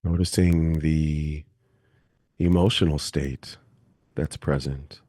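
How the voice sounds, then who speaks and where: A man with an extremely low, mellow voice guides a meditation. He speaks calmly and gently, leaving long pauses between phrases. The recording is dry and close. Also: no echo. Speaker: meditation teacher.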